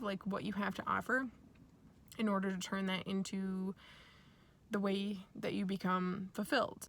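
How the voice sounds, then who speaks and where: A woman from Nigeria with American parents gives a reflective, personal spiritual talk in a deliberate way, pausing mid-phrase. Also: medium pitch, fairly bright tone. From born and raised in Nigeria to American parents. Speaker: speaker sharing personal origin story.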